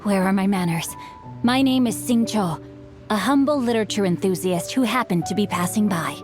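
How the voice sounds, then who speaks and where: A woman's voice: mid-range voice, normal speed, natural voice. A woman voicing a polite, bookish young boy in a video game, speaking in formal, flowery phrases. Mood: courteous, eager. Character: courteous, bookish young boy, eloquent martial-arts novel enthusiast. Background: music.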